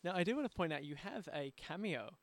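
Creaky man hosting, close and dry. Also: high-pitched, sing-song, fast talker, dry recording. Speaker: interview host. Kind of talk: conversational podcast interview.

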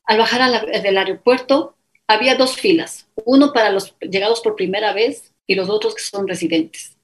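A middle-aged woman with an Ecuadorian Spanish accent, a guest telling her story in a casual interview. She talks in an animated way and acts out other people's lines as dialogue. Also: medium pitch, moderate pace. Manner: animated, quotes others in dialogue, many fillers.

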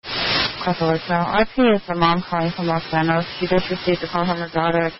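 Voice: medium pitch, monotone, fairly quick. A police dispatcher relaying reports to units over the radio in a procedural way, repeating back what is reported. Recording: hissy, muffled police radio with loud noise. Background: loud radio hiss.

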